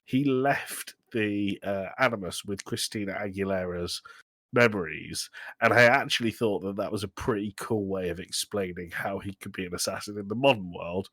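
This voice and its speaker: A man's voice: on the low side, normal speed, a bit bright, dry recording. A man hosting a casual, comedic film chat in a joking, sarcastic way, given to exaggeration. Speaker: podcast host.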